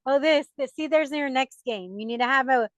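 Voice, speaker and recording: A woman's voice: sits high, a little fast, no room sound, lo-fi sound. A young woman hosting a podcast, chatting casually and animatedly, laughing often. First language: English. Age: young adult. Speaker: podcast host. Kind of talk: casual interview chat.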